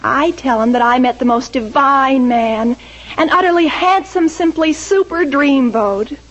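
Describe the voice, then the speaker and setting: A woman's voice: high voice, unhurried, fairly bright tone. An American woman playing an earnest, gushing teenage girl next door in a 1940s radio comedy, explaining things in a girlish way. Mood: knowing, gushing. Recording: dull old radio recording.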